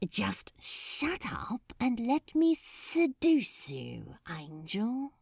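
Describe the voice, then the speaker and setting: Lady doing a cocky demon guy, slow seductive hissy murmur, teasing, audiobook style. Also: huge pitch swings, vocal fry, a little mellow, husky, no room sound, clean studio sound, exaggerated voice. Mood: seductive whisper. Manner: drawn-out, hissing, low murmur. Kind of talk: fan fiction audiobook character voice.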